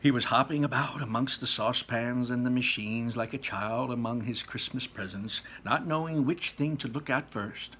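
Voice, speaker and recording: A man's voice: medium pitch, normal speed, few pauses, bright, forceful tone, slight background noise. A man reading a children's book aloud as a storyteller, with dramatic emphasis on descriptive words and casual asides.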